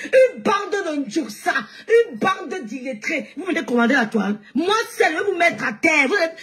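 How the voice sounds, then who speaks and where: A Cameroonian woman hosting a live stream, mocking and laughing as she rants and often shouts. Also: high-pitched. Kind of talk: heated live-stream rant.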